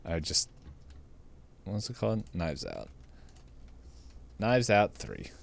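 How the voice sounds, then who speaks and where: A man's voice: deep voice, huge pitch swings, slow, vocal fry. A man co-hosting a podcast in casual chat, hesitant and rambling as he thinks aloud. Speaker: podcast co-host.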